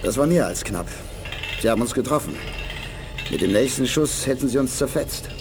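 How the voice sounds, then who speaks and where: A man's voice: mid-range voice, lively intonation, moderate pace, noisy background, natural voice. An actor playing a tough male spaceship gunner in a 1980s sci-fi radio play, blunt and terse. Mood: sober.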